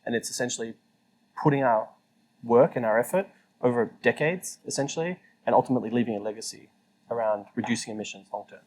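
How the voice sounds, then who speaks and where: A man's voice: medium pitch, quick pace, darkish tone, poor audio. A man from Colombia hosting an interview, talking enthusiastically and rambling, often tagging "right?" onto his points. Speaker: interview host. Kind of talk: casual conversational interview.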